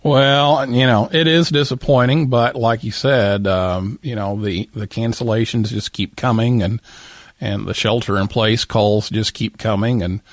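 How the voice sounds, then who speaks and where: A man's voice: fairly low pitch, fairly even intonation, a little slow, no echo, clean studio sound. An American man hosting a casual live radio interview, talking conversationally in hesitant, piecemeal phrases. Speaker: radio show host.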